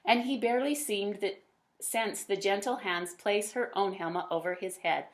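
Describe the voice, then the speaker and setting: A woman reading a children's picture book aloud, with dramatic emphasis and drawn-out words. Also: mid-range voice. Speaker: read-aloud storyteller.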